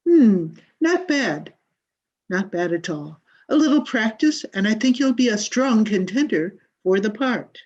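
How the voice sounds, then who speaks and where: A woman's voice: medium pitch, expressive, a little slow, a little mellow. A woman playing a grandiose, exacting drama coach in a 1940s-style radio comedy, with theatrical, emphatic delivery. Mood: measured approval. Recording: dull, muffled video-call audio.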